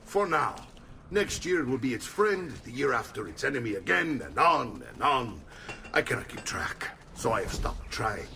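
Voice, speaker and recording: An actor playing a jovial, blustering middle-aged Italian uncle in a video game, with an Italian accent and a hearty, theatrical manner. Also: male voice, medium pitch, very animated, normal speed, creaky, sharp tone, a little hiss or hum, heavily acted. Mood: genial. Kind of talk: video game cutscene acting.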